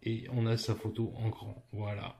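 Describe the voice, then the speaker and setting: A man's voice: fairly low pitch, monotone, normal speed, vocal fry, background noise. A man with a French accent explains a phone app step by step. He speaks informally, addressing the listener as a friend. His delivery is loose and unpolished, full of hesitations and restarts. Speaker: app tutorial presenter. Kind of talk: casual screen walkthrough.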